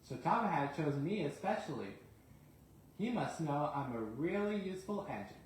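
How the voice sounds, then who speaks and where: A self-important young steam engine from a children's storybook, played by a man. He is teasing and boastful, with a lifted pitch. The recording is very echoey with faint noise. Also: sing-song, moderate pace.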